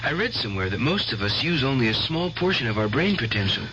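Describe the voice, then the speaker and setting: Intense young man, insistent, touchy, sharp forceful voice, radio play w bit of hiss. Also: medium pitch, sing-song, fast. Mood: earnest, confident. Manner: earnest, insistent. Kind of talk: 1970s radio drama acting. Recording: faintly noisy old radio recording.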